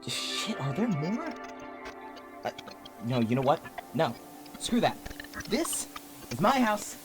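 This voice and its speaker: A man's voice: fairly high pitch, big pitch swings, slow. A man playing an anxious, self-doubting scientist in a confessional audio drama monologue, speaking hesitantly with sighs and self-questioning. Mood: alarmed, indignant. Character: anxious, self-doubting male scientist who studies creatures, secretly undying. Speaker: lead voice actor in a fiction podcast. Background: music.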